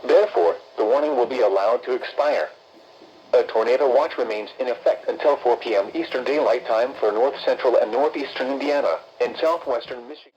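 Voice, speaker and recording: A synthetic male announcer reads formal emergency weather bulletins in an impersonal, measured way with clipped, list-like phrasing. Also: medium pitch, a little mellow. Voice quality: synthetic text-to-speech voice. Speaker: automated weather radio announcer. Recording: dull, noisy weather radio broadcast.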